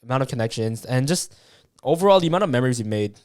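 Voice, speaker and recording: A man's voice: mid-range voice, animated, quick pace, clear, resonant tone, no room sound, clean studio sound. A young man from Canada co-hosting a podcast, telling stories with energy, swearing and calling people "dude" and "bro". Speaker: podcast co-host. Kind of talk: casual chat.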